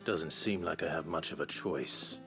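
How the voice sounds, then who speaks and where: A brooding outlaw warrior in a game cutscene, with an extremely low voice. He is terse, gruff and guarded, with music clearly audible behind him. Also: normal speed. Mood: grudging. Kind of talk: video game cutscene acting.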